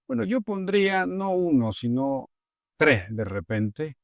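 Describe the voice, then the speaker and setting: A middle-aged man with a Bolivian Spanish accent, a guest in an interview, who answers in a measured, earnest way with pauses and fillers. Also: a little high, big pitch swings, creaky, dry recording. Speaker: agricultural leader, guest.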